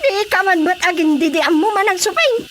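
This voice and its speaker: Very shrill, whiny comic nag. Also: female voice, animated, a little fast, a bit bright, a little hiss or hum. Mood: shrill, demanding. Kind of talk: Filipino comedy radio drama acting.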